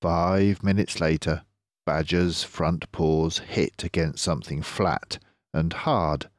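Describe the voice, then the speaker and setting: An older man reads a children's book aloud in a measured, expressive storyteller's voice. His pace is unhurried and the recording is dry and close. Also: deep voice, dry recording. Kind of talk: scripted storybook narration.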